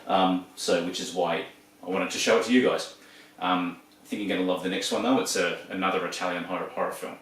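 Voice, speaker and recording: A man's voice: low-pitched, fairly even intonation, fairly quick, a bit bright. A man in his forties with an Australian accent, chatting casually about his collection with lots of ums and restarts. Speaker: video host. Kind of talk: casual show-and-tell. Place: room full of shelves and posters. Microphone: camera across the room. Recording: echoey room, distant mic.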